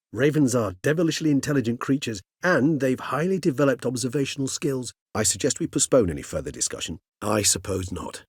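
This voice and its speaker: An old male vampire from a video game, courteous and scholarly. His voice sits low with a husky grain. He phrases things formally and with measured care, gentle in temper and fond of elaborate wording. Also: no echo, studio quality, heavily acted. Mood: calm, measured, then sorrowful, grave. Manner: formal, articulate, measured and wordy. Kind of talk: video game dialogue acting.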